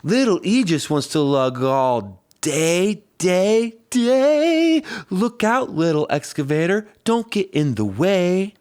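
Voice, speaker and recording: A man's voice: high voice, big pitch swings, slow pace, few pauses, darkish tone. A man reading a rhyming children's picture book aloud, playfully stretching and stressing the sound words.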